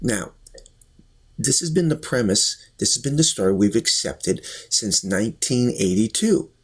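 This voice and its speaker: A man giving opinion commentary to viewers, emphatic and full of rhetorical questions, with a husky voice. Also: fairly low pitch, lively intonation, unhurried. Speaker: video commentator and sci-fi fan reviewer.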